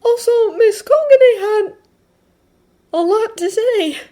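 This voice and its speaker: An anxious, earnest teenage boy from an audiobook, acted by a woman reader. The voice sits very high, far above the reader's own, with a hesitant, stammering and emphatic delivery. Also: slow, dark tone. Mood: nervous, determined. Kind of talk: audiobook character voice.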